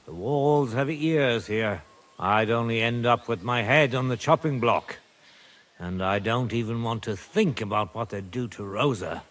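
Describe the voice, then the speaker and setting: An actor voicing a worried, shrewd middle-aged mine owner and father in a video game, speaking in a measured, grave way. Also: medium pitch, fairly bright tone, lightly acted.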